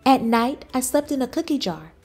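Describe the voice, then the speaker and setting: A woman reading a children's book aloud in expressive storybook narration. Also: high voice, moderate pace, husky, faint music behind. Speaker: children's book reader, channel host.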